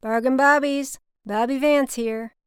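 A woman's voice: sits high, steady tone, a little slow, clear, resonant tone, no room sound, studio quality, voice pushed up. A woman voicing a folksy, exasperated but kind businessman with a Southern US drawl, in an audiobook story. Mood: weary greeting.

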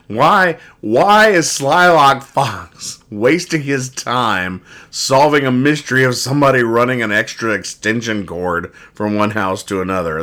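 A man's voice: lowish voice, pushed and bright. A middle-aged man hosting a comedy podcast in casual banter, with a wry, mock-exasperated manner and a habit of repeating his phrases. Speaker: podcast host. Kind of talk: casual comic banter, describing newspaper comics.

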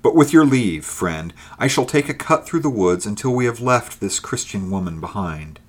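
A man's voice: lowish voice, expressive, normal speed, voice pushed up. A man voicing an earnest, nervous young Puritan man, pleading a little in archaic speech.

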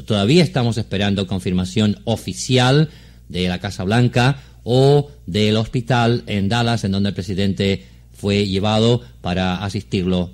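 Male newsreader for live radio bulletins, lowish register, formal and deliberate, studio setting. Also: darkish tone. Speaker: Spanish-language radio newsreader. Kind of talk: live breaking news announcement.

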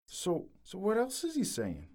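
Gruff folksy teammate, unhurried drawl, mellow tone, swinging pitch, audio drama. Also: male voice, huge pitch swings, full character voice. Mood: skeptical.

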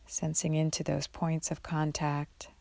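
Low-voiced woman leading guided meditation, creaky. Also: flat delivery, no echo. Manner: gentle, unhurried, with long pauses. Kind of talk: guided mindfulness meditation, instructional.

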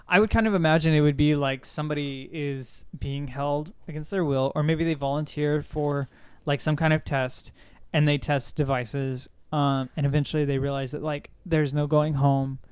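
A man's voice: fairly high pitch, fairly even intonation, normal speed, clean tone, no echo. A man co-hosting a casual discussion, explaining his opinions in a rambling way. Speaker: podcast co-host. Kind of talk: casual discussion of video games and films.

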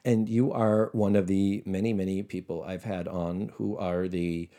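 A man's voice: sits low, little pitch movement, measured pace, dark tone. An American man hosting a casual podcast conversation, talking in a wry, playful and agreeable way. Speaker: podcast host. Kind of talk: casual chat, recapping a TV episode.

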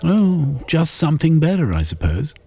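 A man voicing a vain, touchy gentleman at a club dinner, pompous and petulant. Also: a little high, very animated, normal speed, vocal fry, slightly rough, pitched above the performer's own voice, full character voice.

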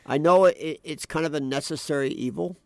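A man with a raspy voice, sick with a cold, co-hosting a casual political podcast and joking and hesitating as he talks. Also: on the high side, a little fast, a little mellow, dry recording. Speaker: podcast co-host.

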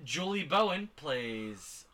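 Man, podcast co-host, casual chat, hesitant fillers, slightly high pitch, very bright tone. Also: very animated, slow pace, a little hiss or hum. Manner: reads cast lists, hesitant fillers.

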